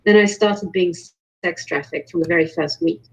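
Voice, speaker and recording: Woman, lowish voice, husky edge, earnest halting testimony, dull online call sound. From born in Romania, later moved to Germany. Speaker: invited panel guest, researcher. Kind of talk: personal testimony in an online panel discussion.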